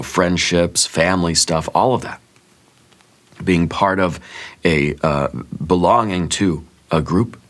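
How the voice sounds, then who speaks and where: Soft-spoken deep-voiced man, congested. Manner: musing, gently reassuring, thinks aloud with fillers. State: congested, sore throat. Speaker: tarot reader. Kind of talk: soft-spoken ASMR tarot reading.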